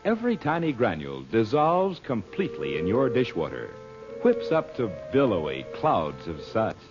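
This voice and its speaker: A man's voice: fairly low pitch, huge pitch swings, normal speed. A man with an American accent, a vintage radio announcer reading scripted ads and serial narration. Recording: muffled old radio recording. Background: loud-ish music.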